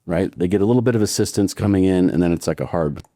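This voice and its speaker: Deep mellow guy hosting a podcast, casual, kinda halting. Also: no echo, studio quality. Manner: casual and enthusiastic, halting with repeats. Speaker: podcast host. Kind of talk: conversational podcast interview.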